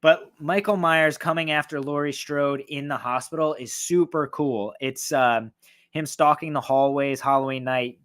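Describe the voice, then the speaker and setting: A man with a voice going hoarse, excitedly and profanely ranking horror films in a rambling, casual way. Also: on the high side. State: losing his voice, hoarse. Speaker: horror film reviewer. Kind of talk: casual film ranking talk.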